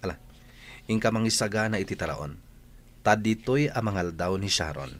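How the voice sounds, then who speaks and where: An actor playing a bossy, headstrong young playboy with an Ilocano accent in a radio drama. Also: male voice, lowish voice, moderate pace, natural voice. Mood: bossy, curt.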